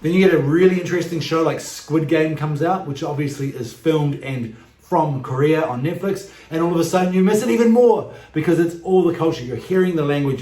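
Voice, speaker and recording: A man in his forties hosts a vlog with a New Zealand expat accent. His voice sits a little higher than average and he speaks emphatically, straight to his audience. The recording has a clear room echo. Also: a little mellow. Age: 40s. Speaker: YouTube channel host. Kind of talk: direct-to-camera vlog talk. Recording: echoey, roomy sound.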